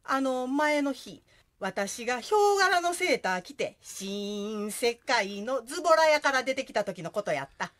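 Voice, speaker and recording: High-voiced, bossy Kansai ghost wife acted by a woman. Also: sing-song, a little slow, fairly bright tone, heavily acted. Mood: recounting. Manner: scolding, blunt. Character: bossy dead wife and mother, comic ghost. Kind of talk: comic radio drama.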